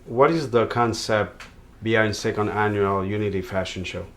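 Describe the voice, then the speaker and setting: A man's voice: on the low side, steady tone, normal speed, fairly bright tone. A man hosting a television interview program, presenting and asking questions in formal, wordy phrasing. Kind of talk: TV interview hosting and promo announcements.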